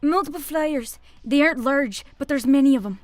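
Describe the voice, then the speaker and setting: A woman's voice: high voice, normal speed, dry recording, soft background music, natural voice. A woman voicing a tense, snarling female gorgon flight crew member in an audio story, speaking urgently.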